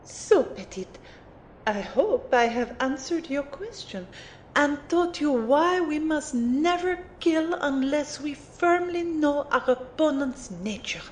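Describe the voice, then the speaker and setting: A woman's voice: high voice, animated, slow, a little hiss or hum. A woman playing a haughty, cool-headed female mentor in an audio drama, speaking formally and precisely with condescension. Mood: instructive, calm.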